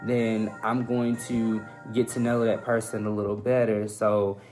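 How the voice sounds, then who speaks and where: A young American man chatting casually on a podcast, thoughtful and halting as he searches for his words. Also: fairly low pitch, flat delivery. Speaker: podcast guest. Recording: faint music behind the voice.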